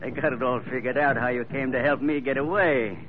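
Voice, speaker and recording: An actor playing a ruthless, scheming pearl poacher in 1940s radio acting style, talking low and menacing. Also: male voice, steady tone, fairly quick, natural voice. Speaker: pearler Labrado. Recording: dull old radio broadcast, faint hiss.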